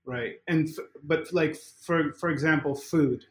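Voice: mid-range voice, fairly even intonation, a little slow, roomy sound. A podcast host asking long, meandering questions in a casual interview.

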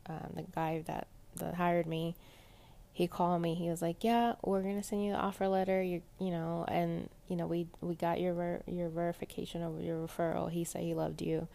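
A young woman with a soft, pleasant voice chatting casually and rambling in a personal podcast monologue, full of fillers. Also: lowish voice, flat delivery, normal speed, creaky. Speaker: podcast host.

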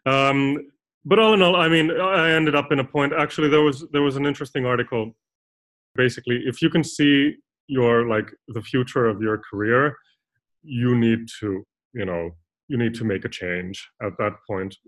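A young man from Finland, a consultant who talks as a podcast guest in a halting, rambling way with many restarts. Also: medium pitch, a bit bright. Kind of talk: casual interview talk.

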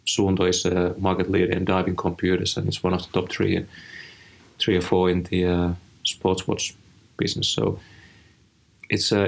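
Deep gravelly Finnish-accented man. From Finland. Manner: rambling, frequent restarts and fillers. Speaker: interview guest, design executive. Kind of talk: casual interview talk.

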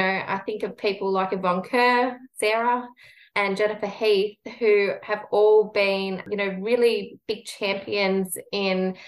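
A woman giving a casual, personal interview answer in a hedging, conversational way. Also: mid-range voice. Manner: hedging, conversational, frequent "you know". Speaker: guest, university equity practitioner. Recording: roomy, echoing sound.

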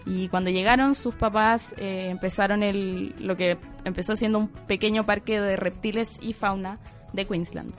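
A woman's voice: mid-range voice, fairly even intonation, moderate pace, faint music behind. A woman co-hosting a casual podcast chat, telling a story with many fillers and frequent laughs. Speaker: co-host presenting the segment.

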